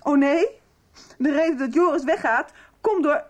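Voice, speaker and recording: A woman's voice: high voice, moderate pace, lightly acted. A young woman acting an upset, accusing teenage daughter in a radio soap. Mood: angry, accusing. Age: young adult.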